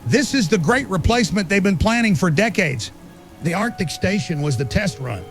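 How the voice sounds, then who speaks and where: High-pitched paranoid conspiracy host. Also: male voice, animated, moderate pace. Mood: ominous, insistent. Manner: emphatic, rhetorical questions, insistent. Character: agitated, paranoid male conspiracy broadcaster, urgent and certain. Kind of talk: ranting conspiracy talk show monologue. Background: music.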